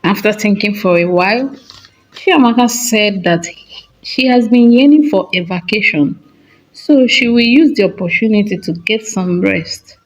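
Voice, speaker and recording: A woman narrating a scripted folktale in short, measured, dramatic phrases. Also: mid-range voice, highs cut off. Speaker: storyteller.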